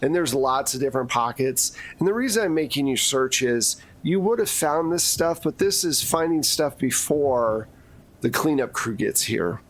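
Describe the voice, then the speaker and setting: A man's voice: mid-range voice, expressive, normal speed. A man narrating a tabletop roleplaying game as game master, setting scenes in a casual, conversational way.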